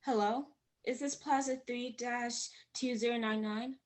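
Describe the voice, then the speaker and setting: An actress playing a businesslike telegraph operator, measured and impersonal, in a 1940s radio drama style. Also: female voice, on the high side, fairly even intonation, natural voice. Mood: formal, detached. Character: formal, businesslike female telegraph operator reading messages.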